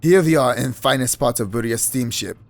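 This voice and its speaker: A man's voice: mid-range voice, brisk, close to an everyday voice. A man voicing a huge, blunt male sailor guard with a put-on Russian accent and clipped, broken English. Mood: stern.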